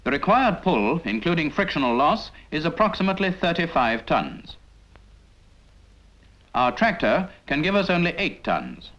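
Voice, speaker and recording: Lively 1950s British training-film narrator, male. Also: fairly high pitch, normal speed. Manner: didactic, addresses the trainee with rhetorical questions. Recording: dull 1950s film soundtrack.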